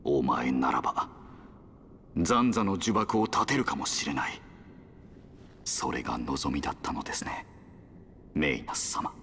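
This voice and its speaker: Very deep, brooding machine-race leader. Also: male voice, sing-song, a bit bright, a little hiss or hum, heavily acted. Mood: grave. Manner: solemn, formal, deliberate delivery. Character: brooding, embittered male machine-race leader, weary and grave. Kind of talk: dramatic video game cutscene acting.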